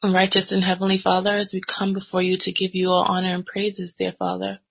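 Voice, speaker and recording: A woman's voice: medium pitch, monotone, fairly quick, gravelly, husky. A woman leading a church prayer aloud in a reverent, formal way. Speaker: congregant leading closing prayer. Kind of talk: spoken extempore prayer. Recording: muffled lo-fi audio.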